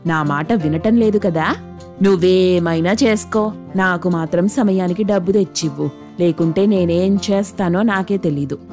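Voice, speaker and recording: Low mellow female voice, actress in role, middle-aged mother-in-law, greedy, bossy, scolding, cartoon moral tale, music behind. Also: natural voice. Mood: threatening. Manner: scolding, demanding, sharp-tongued. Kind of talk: dramatic Telugu moral story cartoon dialogue.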